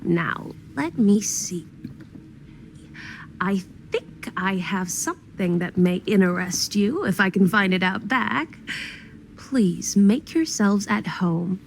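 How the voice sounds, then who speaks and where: A woman's voice: medium pitch, animated, takes it slowly, gravelly, slight background noise. An actress playing a courteous, sly older woman who keeps a cluttered curio shop, in a genteel, coaxing way for a video game. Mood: inviting, genteel. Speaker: shopkeeper.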